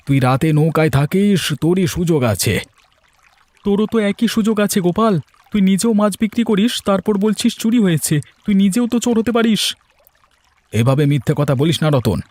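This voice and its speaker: Cartoon village fisherman, acted by a man: high voice, accusing and defensive, very dry sound. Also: darkish tone, dry recording. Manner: accusing, argumentative. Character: aggrieved village fishermen quarrelling, suspicious and defensive men. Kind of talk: cartoon fairy-tale dialogue.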